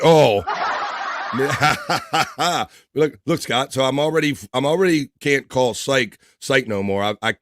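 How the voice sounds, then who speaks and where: A hoarse man hosting a livestream, chatting casually and shouting out viewers' names in an upbeat way. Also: mid-range voice, no echo, clean studio sound. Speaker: livestream host. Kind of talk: casual chat, reading chat messages.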